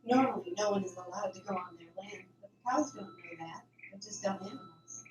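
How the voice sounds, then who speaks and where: A woman's voice: medium pitch, a little fast, a crowd in the background, lightly acted. Woman playing anxious farm girl, secretive, urgent, emphatic, radio drama, distant mic, echoey room. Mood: guarded explaining. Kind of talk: amateur radio drama dialogue. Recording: roomy, distant mic, noisy background.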